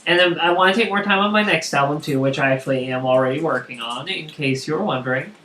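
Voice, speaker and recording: A woman with a big voice chatting casually and excitably as she hosts a video game stream. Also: low voice, quick pace. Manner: loud, excitable. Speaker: streamer and host of a co-op gaming session. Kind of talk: casual chat while playing a video game. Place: indoor room, live game stream. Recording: echoey room with background noise.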